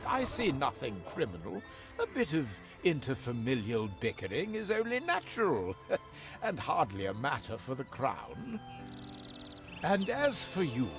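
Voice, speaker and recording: An actor playing a courtly royal ambassador in a video game, speaking in a formal, urbane way. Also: male voice, on the high side. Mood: unruffled, dismissive, then gracious, formal. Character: courtly, smooth-tongued male ambassador to the Queen. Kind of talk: video game cutscene acting. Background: music.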